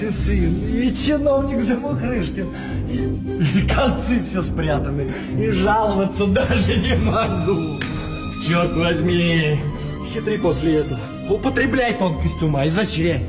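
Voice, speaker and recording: Conceited cheat who got outsmarted, high voice, stammery dramatic stage comedy, music behind. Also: male voice. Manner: stammering repetitions, theatrical exclamations. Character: conceited male card swindler, outraged at being outwitted. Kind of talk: classic Russian stage comedy acting.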